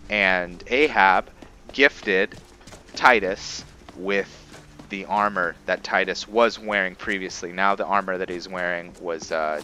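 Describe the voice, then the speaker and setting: A man giving casual commentary on a roleplay game, halting and musing as he thinks aloud. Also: sits low, bright, forceful tone, slight background noise. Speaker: host of a game roleplay series. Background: background music.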